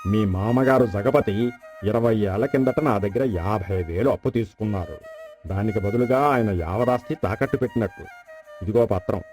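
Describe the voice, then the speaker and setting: A man's voice: lowish voice, normal speed, darkish tone, soft background music, natural voice. A man playing a sly scheming village landlord. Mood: sly, persuasive. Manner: insinuating, persuasive. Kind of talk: dramatized folk tale acting.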